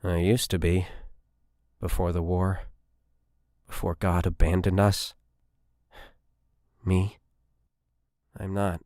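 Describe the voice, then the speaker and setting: A man voicing an earnest female military scientist in an audiobook, faithful yet excitable. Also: deep voice, takes it slowly, long pauses, dry recording, studio quality. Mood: wistful.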